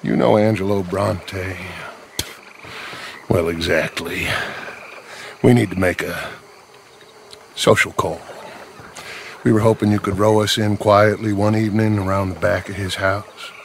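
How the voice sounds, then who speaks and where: An actor playing a charismatic, persuasive middle-aged outlaw gang leader in a video game, with a gravelly, theatrical delivery. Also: male voice, low-pitched, fairly even intonation, unhurried, pauses often, slight background noise. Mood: confiding. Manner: theatrical, persuasive, speech-making. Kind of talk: dramatic video game acting.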